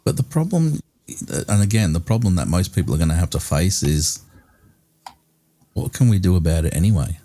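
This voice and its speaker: Low mellow guy hosting a chatty podcast, friendly and easygoing. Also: animated, fairly quick. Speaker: podcast host. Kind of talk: casual podcast conversation.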